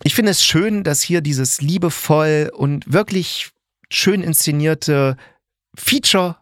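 A man's voice: a little high, takes it slowly, no echo. Middle-aged man, casual podcast review, dry sound. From East Germany. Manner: colloquial, blunt, thinks aloud with self-corrections. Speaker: podcast host, audio drama reviewer. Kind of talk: casual opinionated review monologue.

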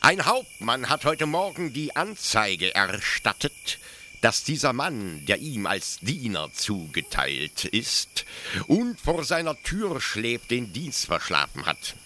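An actor playing a proud, defensive young officer-judge in a radio play, explaining pedantically with hesitant, stammered pauses. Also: male voice, medium pitch, big pitch swings, bright, forceful tone, a little hiss or hum, exaggerated voice. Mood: self-assured, zealous. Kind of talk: German literary radio play acting.

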